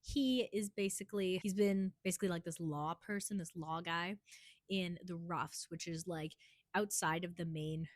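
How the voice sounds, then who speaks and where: Hoarse woman chatting about a book. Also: fairly low pitch, steady tone, moderate pace, dry recording, clean studio sound. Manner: chatty, rambling, many "like" fillers. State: hoarse from a cold. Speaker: book reviewer. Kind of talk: casual book review vlog.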